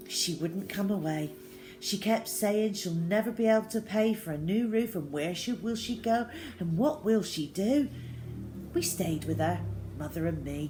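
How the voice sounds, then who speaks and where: Female storyteller, slightly low pitch, expressive diary narration for children, faintly noisy home recording. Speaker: storyteller reading a children's novel aloud. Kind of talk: expressive first-person diary storytelling, read aloud.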